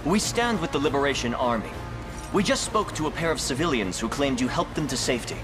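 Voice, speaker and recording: A cautious knightly adviser from a fantasy game, played by a man. He is serious, measured and formal, with a mid-range voice and faint music behind. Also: medium pitch, animated, natural voice.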